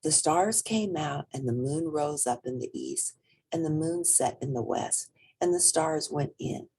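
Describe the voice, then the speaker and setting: A woman reading a children's storybook aloud in an expressive narrating style. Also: deep voice, unhurried, husky. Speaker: storybook reader.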